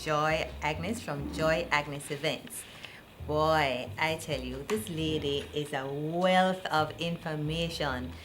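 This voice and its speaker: Woman host, very low voice, slow unhurried delivery, over clear music. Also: steady tone. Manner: chatty, effusive. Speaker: interviewer host. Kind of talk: on-camera interviewing.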